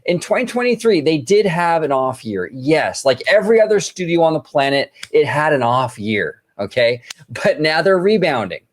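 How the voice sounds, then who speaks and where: A man's voice: fairly high pitch, sing-song, normal speed. A man hosting a casual financial news discussion, informal and buddy-like, repeating himself for emphasis. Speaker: host.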